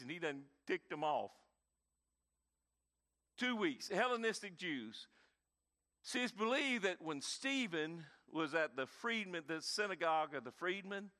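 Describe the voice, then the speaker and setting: A man, preaching a sermon with dynamic emphasis and quiet, intense stretches. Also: fairly high pitch. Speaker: pastor.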